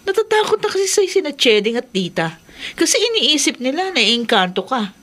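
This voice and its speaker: An actress playing a skeptical, exasperated wife in a horror radio drama, emphatic and impatient. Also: female voice, high voice, very animated, a little fast, fairly bright tone. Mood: worried.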